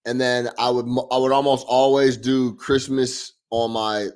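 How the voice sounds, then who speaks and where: A man's voice: mid-range voice, flat delivery, normal speed, darkish tone. A young man co-hosting a casual sports podcast, loose and slangy, laughing often. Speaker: podcast co-host.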